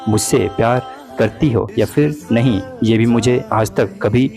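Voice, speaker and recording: A man's voice: mid-range voice, measured pace, natural voice. A young man playing a hurt, jealous boyfriend, reproachful and pleading in a dramatized breakup talk. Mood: sad, doubtful. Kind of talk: dramatized breakup phone conversation in Hindi. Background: background music.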